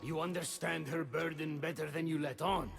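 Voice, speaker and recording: A man's voice: on the high side, fairly even intonation, moderate pace, creaky. An actor playing an anxious, devoted male god in a video game cutscene, speaking in an earnest, theatrical, formal way. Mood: devoted, defensive. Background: music.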